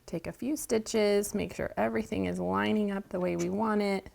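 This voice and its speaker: A woman leads a relaxed sewing lesson at a mid-range pitch. She chats through each step as she works, and she sounds slightly stuffy from a cold. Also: moderate pace, vocal fry, slight background noise. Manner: chatty, walks through steps while working. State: has a cold, sniffling. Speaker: quilting show host. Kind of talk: friendly sewing tutorial. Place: sewing studio indoors.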